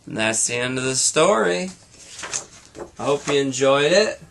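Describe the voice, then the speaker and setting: A man reads a children's story in a bright, forceful voice. He takes his time over the rhythmic verse and delivers it with drama and playful asides, like a teacher reading to a class. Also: medium pitch, lively intonation, unhurried, creaky, a little hiss or hum. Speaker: teacher reading aloud to students. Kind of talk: expressive picture-book read-aloud.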